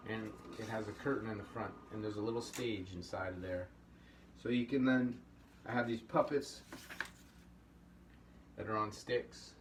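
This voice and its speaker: A man's voice: mid-range voice, a bit bright. A middle-aged man teaching a casual puppetry workshop at a table, easygoing and encouraging, often rambling. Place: indoor studio room at a table. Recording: slightly distant mic, faint room hum.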